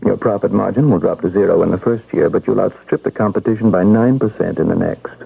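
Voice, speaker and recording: An actor playing a measured, courteous consultant with a formal, precise manner in a radio drama. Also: male voice, low voice, gravelly, slightly rough, exaggerated voice. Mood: brisk, assured. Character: measured, courteous male consultant feted as a brilliant decision-maker. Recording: tinny, narrow-band old radio, noisy.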